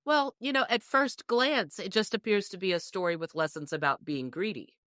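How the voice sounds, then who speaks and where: A woman podcast panelist casually discussing a folk tale, telling it in an expressive storytelling way. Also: medium pitch, normal speed, dry recording, studio quality.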